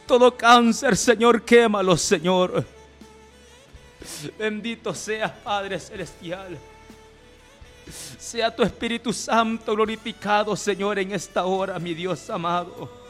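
High-voiced man in fervent prayer over music. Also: steady tone, takes it slowly, fairly bright tone. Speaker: preacher leading prayer. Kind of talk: fervent Pentecostal healing prayer. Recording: music playing behind the voice.

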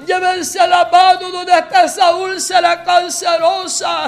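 A woman's voice: very high voice, completely flat delivery, measured pace, gravelly, faint music behind. A woman preacher leading a fervent, declamatory healing prayer and speaking in tongues.

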